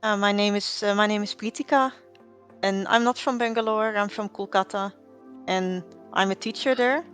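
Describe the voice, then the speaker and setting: A woman's voice: mid-range voice, normal speed, a bit bright, soft background music. A woman telling her roleplay character's backstory, rambling with fillers and restarts. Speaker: roleplay player.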